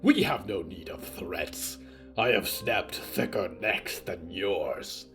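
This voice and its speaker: A man's voice: low voice, slow, fairly bright tone, soft background music, voice pushed down, heavily acted. A man voicing a menacing clawed green monster in an audio story, snarling boastful threats in a whispery voice. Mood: scornful threat.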